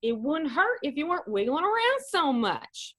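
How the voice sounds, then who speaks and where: A woman's voice: high-pitched, sing-song, normal speed, fairly bright tone. A woman playing an easygoing, teasing male babysitter in a comedic radio play. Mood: strained. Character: easygoing, joking man babysitting a baby.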